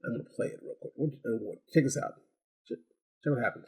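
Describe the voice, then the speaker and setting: Man casually reviewing gadgets, creaky voice. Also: mid-range voice, fast talker, dry recording. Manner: conversational, repeats phrases for emphasis. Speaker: product reviewer. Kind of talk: casual hands-on review to camera.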